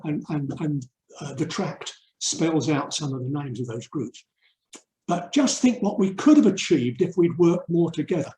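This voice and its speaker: An older man from England giving a reflective webinar talk, earnest and emphatic, with deliberate pauses. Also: on the high side, warm tone. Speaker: urban mission speaker and theologian. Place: indoor room, online webinar. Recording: roomy, echoey webinar audio.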